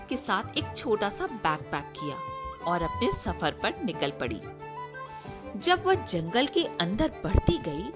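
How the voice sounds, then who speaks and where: A woman narrating a bedtime fairy tale in a gentle, measured reading style. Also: medium pitch. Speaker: storyteller. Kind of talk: slow scripted bedtime story narration. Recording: narration over clear background music.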